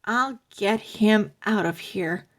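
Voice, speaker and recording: A woman's voice: medium pitch, slow, lightly acted. A woman voicing a mischievous, jumpy young boy who talks eagerly. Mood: excited, nervous.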